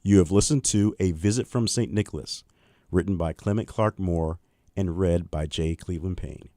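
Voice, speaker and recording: A man reading a storybook poem aloud in an expressive, theatrical storytelling manner. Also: sits low, normal speed, no echo, clean studio sound.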